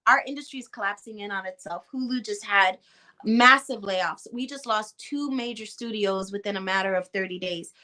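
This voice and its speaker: A woman's voice: mid-range voice, moderate pace, sharp tone. A woman with a Chicago Puerto Rican accent, an actress talking passionately and emphatically as a guest in a casual conversation. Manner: passionate, emphatic, acts out quoted reactions.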